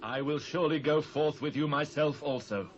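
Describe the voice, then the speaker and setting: A man's voice: on the high side, moderate pace, a little hiss or hum, lightly acted. A British man playing a grave, tender king in a dramatised scripture reading in archaic English. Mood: resolved, yielding. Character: grave, tender aging king addressing his people. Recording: dull lo-fi cassette transfer.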